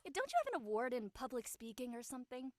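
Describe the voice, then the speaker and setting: A brash teenage girl, acted by a woman, teasing and gossipy in slangy drama dialogue. Her voice is high and bright, very animated and recorded dry and close. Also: quick pace, no echo. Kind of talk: audio drama acting, casual dialogue.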